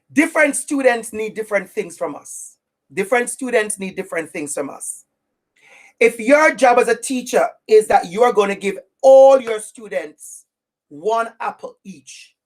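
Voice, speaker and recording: A woman from Jamaica with a Jamaican accent, presenting a webinar to teachers in an emphatic, preacherly way with deliberate repetition. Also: medium pitch. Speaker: equity trainer for teachers.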